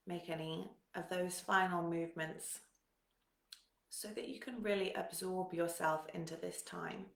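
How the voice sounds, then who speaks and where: A woman leading a guided meditation in a calm, soothing manner, giving gentle instructions with long pauses. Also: fairly low pitch, gravelly, echoey room.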